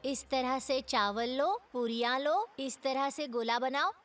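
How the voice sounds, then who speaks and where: A woman's voice: high voice, a little slow, a bit bright, soft background music. A woman voicing a patient character in a dubbed children's cartoon, explaining step by step. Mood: patient, instructive. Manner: instructive, step by step.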